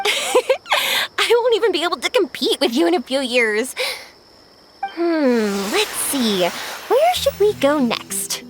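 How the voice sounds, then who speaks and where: A woman playing a cheerful, energetic young woman in an anime video game, playful and encouraging. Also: very high-pitched, takes it slowly, background noise, exaggerated voice. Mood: curious, encouraging. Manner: bubbly, encouraging.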